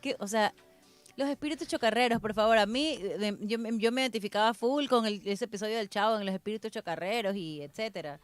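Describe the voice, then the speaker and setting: A woman co-hosting a casual chat, telling personal anecdotes in a colloquial, expressive way. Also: mid-range voice, fairly quick. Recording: faint music behind the voice.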